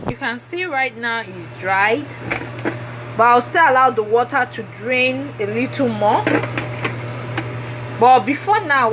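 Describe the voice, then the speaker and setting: A Nigerian woman whose first language is Yoruba, talking through a cooking tutorial in a halting way with many fillers. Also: on the high side. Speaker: cooking vlogger. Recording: background noise.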